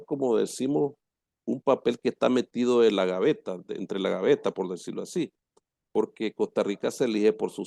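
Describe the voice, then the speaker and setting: A man with a low, gravelly voice and a Nicaraguan accent teaches a class. He explains terms haltingly, often repeating himself and checking in with his listeners. The sound is close and dry. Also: dark tone, dry recording. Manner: halting, repeats words, frequent check-in questions to students. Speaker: lecturer. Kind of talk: informal class lecture, explaining and reading out terms.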